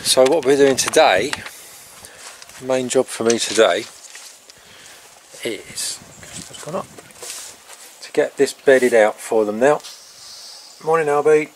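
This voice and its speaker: A middle-aged man in a casual, rambling vlog, talking haltingly outdoors on a farm into a handheld camera. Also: mid-range voice, slight background noise. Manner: halting, breaking off to talk to animals. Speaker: farm vlogger. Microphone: handheld camera at arm's length.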